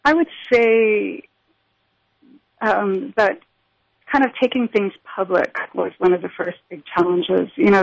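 A woman with a gravelly, fry-tinged voice speaks thoughtfully, pausing and restarting as she tells her story. The recording is dull and lo-fi, with a dry sound and little room. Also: on the low side, no room sound. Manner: thoughtful, halting, with many fillers and restarts. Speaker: interview guest, shamanism teacher. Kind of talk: reflective conversational storytelling.

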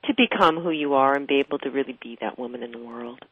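A woman's voice: very deep voice, quick pace, breathy edge, no room sound, narrow, tinny audio. A woman hosting an interview, enthusiastic and affirming, often laughing along with her guest. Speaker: interview host. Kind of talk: conversational interview.

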